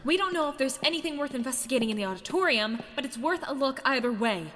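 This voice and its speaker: A high, sharp-edged young student leader, acted by a woman in an animated mystery. Bossy and impatient, this character hands out orders in curt, clipped phrases. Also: quick pace, slight background noise. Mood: serious, focused. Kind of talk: dramatic animated mystery voice acting.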